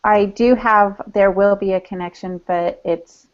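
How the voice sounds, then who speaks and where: A woman's voice: medium pitch, steady tone, measured pace. A woman chatting casually in short remarks as a podcast co-host. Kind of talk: casual chat. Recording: dull lo-fi audio.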